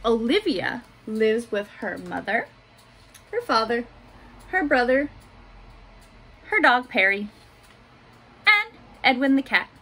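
A woman in her thirties reading a children's picture book aloud in simple, deliberate phrases, adding little asides for young listeners. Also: fairly high pitch, sing-song, bright, forceful tone. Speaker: school staff member reading aloud to pupils. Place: small home office room, indoors. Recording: noticeable room noise behind voice.

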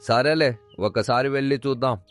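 A man's voice: mid-range voice, normal speed, natural voice. Man playing a fretful villager, faint music. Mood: puzzled. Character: worried village man, plain-spoken, fretful. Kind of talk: animated moral story dialogue.

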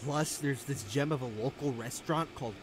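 A man's voice: mid-range voice, a little fast, a little hiss or hum, close to an everyday voice. A young man playing a restless farm boy with big dreams in a video game. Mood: upbeat, friendly. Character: restless farm son dreaming of stadium stardom. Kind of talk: video game character dialogue.

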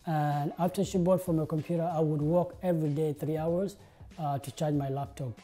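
An earnest young man speaks to the camera in a studio. His voice is very dark and mellow, and his talk is full of "uh" and "like". Quiet music plays under him. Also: fairly high pitch, fairly even intonation, a little fast. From South Sudan. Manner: earnest, many "uh" and "like" fillers. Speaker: interviewee, game designer. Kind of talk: interview monologue to camera. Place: indoor studio with plain backdrop.

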